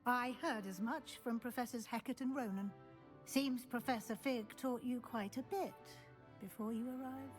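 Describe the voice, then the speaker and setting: An actress playing a brisk, shrewd senior professor at a wizard school in a video game, formal and authoritative. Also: female voice, a little high, soft background music, close to an everyday voice. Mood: shrewd, probing. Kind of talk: scripted video game acting.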